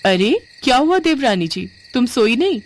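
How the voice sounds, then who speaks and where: A woman's voice: a little high, very animated, normal speed, voice pushed up. A woman voicing a put-upon, bossy pregnant elder sister-in-law in a cartoon family story, with a reproachful manner. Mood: casual, placating. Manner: scolding, reproachful. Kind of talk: animated Hindi family story dialogue.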